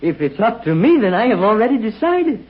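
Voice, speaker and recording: An actor playing an excitable young Mexican boy with a Mexican Spanish accent and broken English, in 1940s radio acting style. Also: a little fast, slightly rough, heavily acted. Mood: eager. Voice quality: high, lively, broken English. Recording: tinny old radio broadcast.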